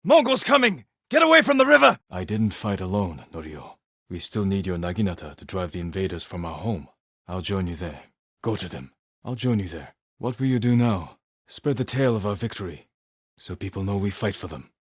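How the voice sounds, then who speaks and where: A stoic samurai hero from a video game. His voice is low and husky, recorded very dry and close. He speaks with grave, measured restraint and honorable determination. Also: sing-song, a bit bright, dry recording, exaggerated voice. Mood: urgent shouting, then firm, pressing. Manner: measured, earnest, restrained delivery. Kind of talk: scripted video game voice acting.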